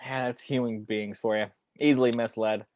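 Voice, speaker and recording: A man's voice: mid-range voice, fairly even intonation, moderate pace, darkish tone, close to an everyday voice. A man playing a cynical, cocky angel of death in a film script table read, arguing in a slangy, opinionated way. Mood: dismissive.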